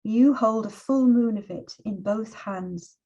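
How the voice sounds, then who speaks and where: A middle-aged woman poet and teacher, talking and reading in a reflective, measured way as an invited guest. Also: fairly high pitch, dark tone, muffled recording. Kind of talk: relaxed personal talk and poetry reading.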